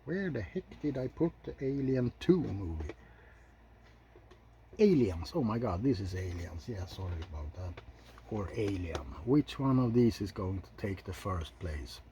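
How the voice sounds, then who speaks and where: A man's voice: fairly low pitch, expressive, measured pace, dark tone, a little hiss or hum. A man hosting an ASMR film ranking, chatting in an informal, rambling way with some mild swearing. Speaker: video host reviewing films.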